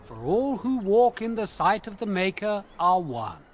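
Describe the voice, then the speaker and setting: A man's voice: high voice, sing-song, a little hiss or hum. A man playing a pious chanter in a video game who speaks only in solemn, formal scripture verse. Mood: solemn, preaching. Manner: declamatory, measured, formal.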